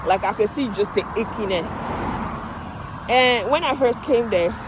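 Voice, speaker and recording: A woman from Nigeria with a Nigerian accent, chatting to her vlog camera while walking outdoors, animated and outspoken, swearing freely. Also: on the high side, unhurried. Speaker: vlogger, medical assistant. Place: outdoors on a residential street. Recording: street with passing traffic noise. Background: cars and street ambience.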